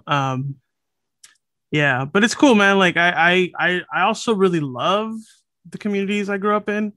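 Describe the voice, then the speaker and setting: Late-thirties man from Los Angeles, podcast guest, smooth voice sitting a touch high, dry close sound, unhurried and reflective. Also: no echo. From Los Angeles, USA. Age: late 30s. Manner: relaxed, thoughtful, with many fillers and restarts. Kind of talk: casual conversational interview. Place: home room, over a video call.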